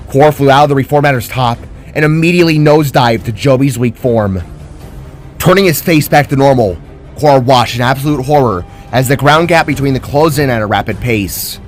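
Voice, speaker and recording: A man narrates a fantasy tale in a scripted, dramatic style. His voice sits at an average male pitch, with faint music playing underneath. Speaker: narrator of own fantasy story.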